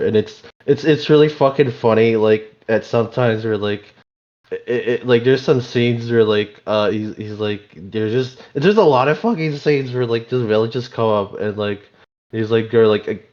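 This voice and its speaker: A man's voice: on the low side, a little mellow. A man in casual podcast chat, amused and sweary, stammering often, on a dull mic. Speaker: podcast panelist. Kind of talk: casual group chat about a game.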